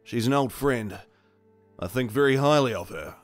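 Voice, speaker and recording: A man's voice: medium pitch, animated, normal speed, faint music behind, close to an everyday voice. A man acting a conscientious, reasonable survivor in an audio drama. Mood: earnest. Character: conscientious, reasonable male survivor leading others.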